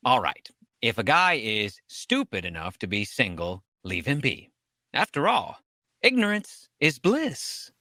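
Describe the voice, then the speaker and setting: A man playing an exasperated, wildly animated sitcom husband. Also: mid-range voice, measured pace, exaggerated voice. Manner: fussy, emphatic, dryly sarcastic. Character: exasperated, wisecracking husband, a banker. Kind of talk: old-time radio sitcom acting.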